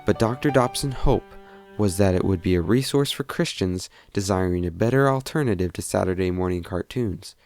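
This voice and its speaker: A man's voice: sits low, moderate pace, darkish tone, no room sound, soft background music. A man reading scripted narration as the narrator of a documentary.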